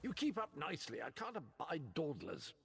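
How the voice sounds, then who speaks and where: A man's voice: fairly high pitch, wildly swooping pitch, moderate pace, vocal fry, fairly bright tone, big-room sound, a little hiss or hum, full character voice. A man voicing a jolly, eccentric old knight with a British accent in a video game, theatrical and cheerfully pompous. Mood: brisk, cheerful. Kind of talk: video game character dialogue.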